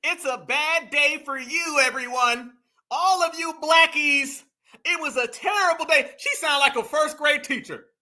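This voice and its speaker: Man, commentary host, piercing bright tone, slangy, mocking, bursts of shouting. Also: very high voice, a little slow.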